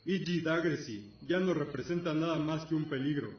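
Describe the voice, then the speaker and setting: A man's voice: on the high side, normal speed, lightly acted. Man voicing an echoing, imperious griffin god. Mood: stern, demanding. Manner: commanding, solemn, contemptuous. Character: imperious male griffin god, commanding, scornful, merciless. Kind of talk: fantasy radio drama acting. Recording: echoey, distant, dull lo-fi with music.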